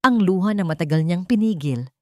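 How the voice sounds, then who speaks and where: A Filipino-accented woman tells a scripted romantic drama. Her pitch sits a little low and moves in lively swings. The sound is dry, with no room around it. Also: brisk, no echo. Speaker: storyteller.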